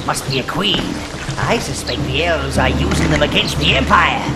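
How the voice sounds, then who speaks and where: An actor playing an old, sly, servile minion advisor in a video game, raspy and fawning as he counsels his master. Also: on the high side, expressive, moderate pace. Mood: advising. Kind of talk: video game cutscene acting. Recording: loud game music behind.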